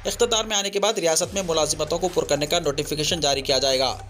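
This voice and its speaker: A man's voice: a little high, fast talker, fairly bright tone, faint music behind. A man reads a scripted news bulletin in a formal, declarative way. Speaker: news reader.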